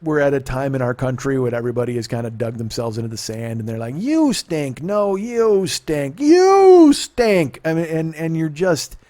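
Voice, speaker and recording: A man's voice: on the high side, sing-song, moderate pace, hardly any pauses, warm tone. A man in his late forties hosting a casual podcast chat, grumbling and swearing as he mocks himself. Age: 40s. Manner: profane, grumbling, self-mocking. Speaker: podcast host.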